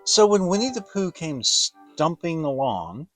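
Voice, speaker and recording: A man narrating a children's story as a dramatic audiobook, deliberate and expressive. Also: fairly high pitch, no room sound, soft background music.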